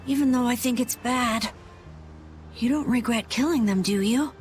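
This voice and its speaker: Woman as an earnest, high-voiced young boy. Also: normal speed, a little mellow, soft background music, close to an everyday voice. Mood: troubled. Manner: earnest, hesitant. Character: earnest, anxious young boy guild leader. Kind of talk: video game voice acting.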